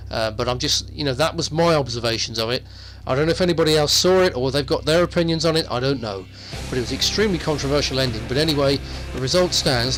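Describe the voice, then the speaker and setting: A man's voice: mid-range voice, poor audio. Man presenting wrestling news over music. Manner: hesitant, rambling, repeats himself. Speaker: wrestling news host and commentator. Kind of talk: informal unscripted news roundup. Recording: music playing behind the voice.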